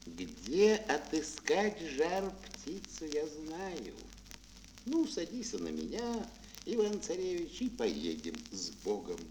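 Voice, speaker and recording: Storybook grey wolf, faithful and grave. Slow, deliberate, widely inflected; old fairy-tale record with light hiss. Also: male voice, a little high, wildly swooping pitch, a little mellow, full character voice, rough recording. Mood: guiding, cautioning. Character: talking grey wolf, loyal, solemn helper of a prince. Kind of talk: children's audio fairy-tale play.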